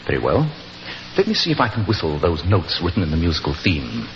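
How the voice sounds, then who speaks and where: A confident British detective in an old radio mystery. He speaks precisely and with command, his pitch sweeping widely in a theatrical way. The vintage recording is muffled, with some hiss. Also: huge pitch swings, a little fast. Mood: explaining. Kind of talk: 1940s radio acting. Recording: muffled 1940s radio recording, faint noise.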